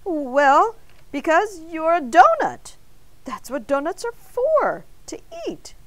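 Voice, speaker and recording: A woman's voice: mid-range voice, big pitch swings, moderate pace, lightly acted. A woman voicing a flustered, well-meaning man in a children's story, sheepish and hesitant with a stammer. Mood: shocked.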